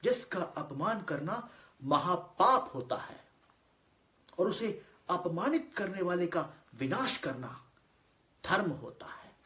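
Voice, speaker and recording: Guy acting as a solemn male god, high voice w big dramatic swings, declaiming a monologue in a roomy echo. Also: very animated, sharp tone. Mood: solemn, instructive. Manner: declamatory, deliberate, with weighty pauses. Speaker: monologue actor. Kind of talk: theatrical dramatic monologue in formal Hindi. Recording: roomy, echoing voice recording.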